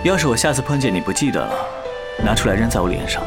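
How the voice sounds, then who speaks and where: A man's voice: mid-range voice, animated, fast, lightly acted. An actor playing a stern but caring male immortal in a costume fantasy drama, with a protective, scolding manner. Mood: tender. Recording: music under the dialogue.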